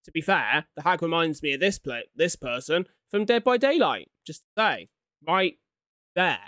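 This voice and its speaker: A man's voice: fairly high pitch, sing-song, moderate pace, a bit bright, no room sound, studio quality. Young man reacting, casual and slangy. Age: young adult. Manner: slangy, exclamatory. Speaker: reaction video host. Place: small indoor room at home. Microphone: desktop condenser microphone, close up.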